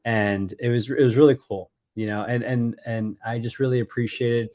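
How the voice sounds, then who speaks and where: A man's voice: medium pitch, fairly even intonation, normal speed, darkish tone. A man hosting an interview show, speaking with enthusiasm and often repeating himself. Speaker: host.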